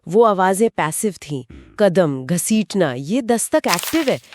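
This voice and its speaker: A woman's voice: medium pitch, very animated, measured pace, no room sound. A woman with a mid-range voice co-hosts a podcast conversation. She reacts to the story and breaks down what makes it frightening, sounding close and dry. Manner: analytical, reacting and explaining the story's psychology. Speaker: podcast co-host.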